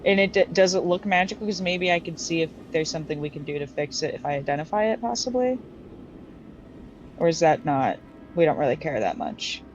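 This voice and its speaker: A woman's voice: low voice, animated, brisk, music in the background. A woman chatting casually as a player in a tabletop game. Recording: muffled audio with audible background noise.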